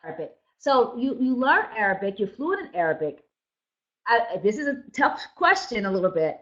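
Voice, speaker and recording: A woman's voice: a little high, big pitch swings, moderate pace. Middle-aged woman on a lo-fi call. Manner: warm, searching questions with restarts. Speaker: interviewer and minister. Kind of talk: video call interview. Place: indoors, room with red wall. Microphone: video call webcam. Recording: slightly dull lo-fi webcam audio.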